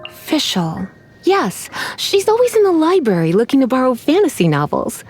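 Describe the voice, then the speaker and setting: Sultry, teasing sorceress, lilting voice. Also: female voice, a little high, darkish tone. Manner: sultry, teasing, unhurried, coy. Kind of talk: video game character voice lines.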